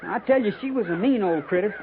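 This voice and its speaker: A simple frontier soldier, earnest and folksy, with a highish voice. His speech is rustic and plain. The 1950s radio recording is tinny and hissy. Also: expressive, fast. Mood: earnest, uneasy. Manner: rustic, ungrammatical drawl. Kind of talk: 1950s radio western acting.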